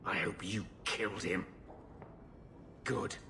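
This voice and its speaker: An actor playing a blunt, grieving middle-aged uncle in a video game, gruff and bitter. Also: male voice, low-pitched, fairly even intonation, takes it slowly, noisy background, exaggerated voice. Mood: bitter anger. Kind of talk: dramatic video game acting.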